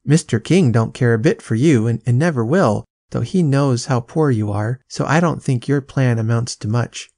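A man's voice: mid-range voice, moderate pace, hardly any pauses, mellow, dry recording, studio quality, lightly acted. A man reading aloud and voicing a spoiled little girl who talks dismissively and impatiently. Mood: scornful.

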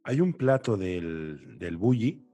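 A man's voice: sits low, very animated, slow, dark tone, soft background music. A man from Spain with a Castilian Spanish accent, hosting a casual interview chat and often repeating words for emphasis. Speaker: podcast host.

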